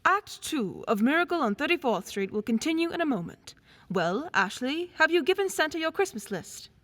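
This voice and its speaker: A woman's voice: high voice, sing-song, moderate pace, fairly bright tone. A woman playing a genial radio show announcer, with scripted old-time radio delivery. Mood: chatty, upbeat.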